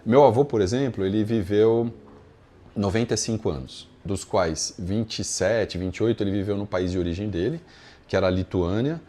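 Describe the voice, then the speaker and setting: A man's voice: fairly low pitch, moderate pace, dark tone. A man with a Brazilian Portuguese accent giving an informal, conversational lesson, explaining ideas step by step and often adding short check-in tags. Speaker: yoga philosophy teacher.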